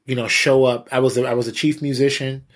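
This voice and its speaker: A man with a husky voice, a pastor and interview guest, giving candid personal testimony in a halting, conversational way with repeated words. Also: medium pitch, flat delivery. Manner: halting, repeats words, frequent "you know".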